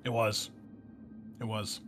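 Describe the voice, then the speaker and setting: A man's voice: fairly low pitch, little pitch movement, takes it slowly, fairly bright tone, faint music behind. A man acting as game master and host, chatting casually as he walks players through a post-game debrief.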